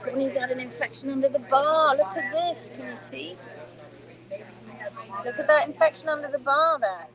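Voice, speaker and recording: Woman host, higher voice, emphatic teacher, phone-recorded workshop stream. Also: normal speed, a crowd in the background. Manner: emphatic, reads viewer comments aloud. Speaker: hoof-trimming workshop host. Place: indoor workshop room.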